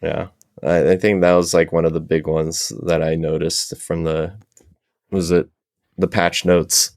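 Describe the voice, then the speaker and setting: A man's voice: low voice, little pitch movement, measured pace, darkish tone, no room sound, clean studio sound. A man chatting casually as a panel guest, explaining things in an easygoing, hedging way. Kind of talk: casual chat.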